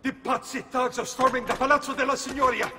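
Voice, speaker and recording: An actor playing a fearful Florentine loyalist in a video game, urgent and alarmed. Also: male voice, very high-pitched, fairly even intonation, quick pace, pushed and bright, long reverb tail, a little hiss or hum. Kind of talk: dramatic video game acting.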